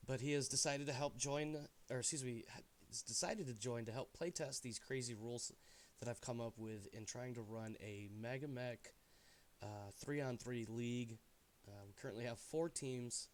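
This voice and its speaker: Stuffy, animated male stream host. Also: medium pitch, normal speed, a little hiss or hum. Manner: animated, jokey, often trailing off into asides. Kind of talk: casual stream commentary.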